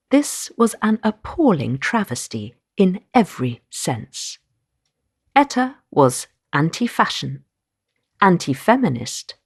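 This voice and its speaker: A woman author reading the prologue of her own nonfiction book aloud, in a measured, expressive way with dramatic pauses. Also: on the low side, slightly rough. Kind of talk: audiobook-style reading of nonfiction prose.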